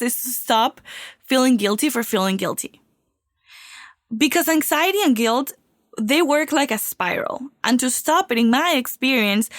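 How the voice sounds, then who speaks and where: A woman's voice: fairly high pitch, no room sound, studio quality. A woman from Venezuela, hosting a solo podcast in an upbeat, confiding way while getting over a cold. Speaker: podcast host.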